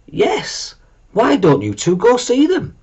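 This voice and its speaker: A man's voice: very high voice, huge pitch swings, slow pace, darkish tone, slightly rough, pitched above the performer's own voice, exaggerated voice. A man voicing a patient but firm mother dragon in a children's storybook, sounding motherly and a little exasperated. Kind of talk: children's storybook character reading.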